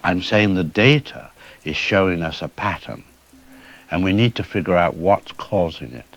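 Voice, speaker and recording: A man playing a measured, cautious geologist explaining things as an expert guest in an audio drama interview. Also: deep voice, animated, a bit bright, no room sound, lo-fi sound. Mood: measured, puzzled.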